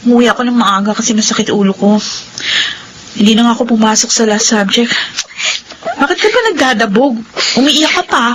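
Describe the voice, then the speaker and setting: A woman acting in a classic radio drama, speaking in lively, chiding tones. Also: a little high, a little fast, pauses often, a little hiss or hum. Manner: lively, chiding mother and older-sister tones.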